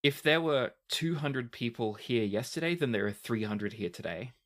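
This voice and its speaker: Deliberate man running a tabletop game. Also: mid-range voice, lively intonation, fairly bright tone. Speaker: game master. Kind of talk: narrating a tabletop roleplaying game.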